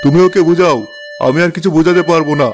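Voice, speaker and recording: A man's voice: fairly high pitch, quick pace, music in the background, natural voice. A man playing an aging king, a stern and exasperated father, in a cartoon fairy tale.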